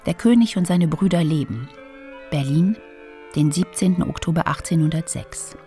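An actress playing a stern announcer who states dates, places and public notices in a clipped, official way in a radio play. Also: female voice, deep voice, normal speed, mellow, faint music behind, natural voice. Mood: official, solemn.

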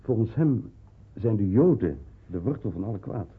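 An actor playing a courteous, grave consulate official in a radio drama, speaking formally and with measured emphasis. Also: male voice, lowish voice, animated, slightly rough. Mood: courteous, urgent. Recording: muffled, phone-like old radio recording.

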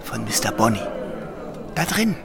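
A man's voice: medium pitch, big pitch swings, unhurried, creaky, over a noisy crowd. An actor playing a cautious, anxious young man in a German radio play, speaking in urgent, hesitant warnings. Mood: probing, urgent. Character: cautious, anxious young man, loyal companion to a reckless girl.

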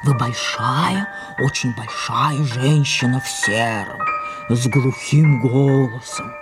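An older actress playing an imperious, theatrical, aging great French actress in an audio drama, dramatic, commanding and mercurial. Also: female voice, very deep voice, takes it slowly, over loud music. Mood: grandly descriptive. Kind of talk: audio drama stage acting.

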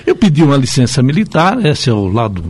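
A man's voice: mid-range voice, a little fast, slightly rough. An older man over sixty with a São Paulo Brazilian accent, telling stories conversationally as an interview guest and often checking for agreement. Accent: São Paulo Brazilian Portuguese. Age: 60s or older. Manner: animated, repeatedly seeks agreement with tag questions. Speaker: psychiatrist, radio interview guest. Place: radio interview.

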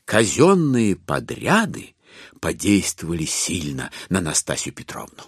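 A man narrating classic literature in an audio drama, with a wry, unhurried storytelling manner. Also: sits low, huge pitch swings. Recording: dry studio, faint music behind.

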